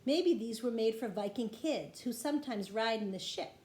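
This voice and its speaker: A woman's voice: a little high, moderate pace, lightly acted. A woman voicing a plucky, eager, stubborn little girl in a storybook read-aloud. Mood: excited. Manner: excitable, urgent, pleading. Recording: roomy echo with light background noise.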